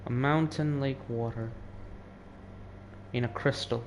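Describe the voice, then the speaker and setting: A man's voice: medium pitch, fairly even intonation, slow pace, a little hiss or hum. A teenage male gamer giving casual, musing off-the-cuff commentary while playing. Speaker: game streamer.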